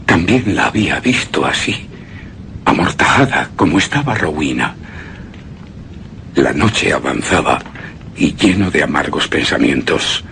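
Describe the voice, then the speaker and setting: A tormented, haunted keeper of a deathwatch in a horror radio drama. His deep voice moves very slowly and haltingly, with a hard, tense edge. The delivery is hushed and theatrical. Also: vocal fry, slight background noise, heavily acted. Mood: brooding, haunted. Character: tormented male narrator keeping deathwatch over a shrouded woman. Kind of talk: Spanish-language suspense and horror radio drama monologue.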